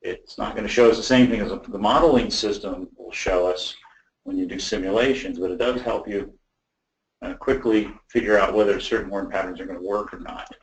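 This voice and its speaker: Male webinar presenter, lower register, explains technical design informally and haltingly, roomy echoing pickup. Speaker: audio system design presenter.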